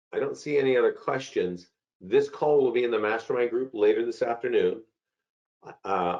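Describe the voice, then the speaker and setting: A man with a hoarse voice from a cold, hosting a live software webinar and chatting through questions from viewers. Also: mid-range voice. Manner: chatty, repeats himself, answers chat questions. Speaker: webinar host. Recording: dull lo-fi call audio.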